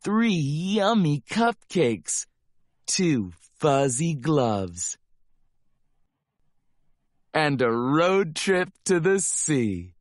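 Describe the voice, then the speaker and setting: A man reading a rhyming children's picture book aloud as narrator, with a playful, drawn-out delivery. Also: fairly high pitch, very animated, dry recording, studio quality. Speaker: storybook narrator.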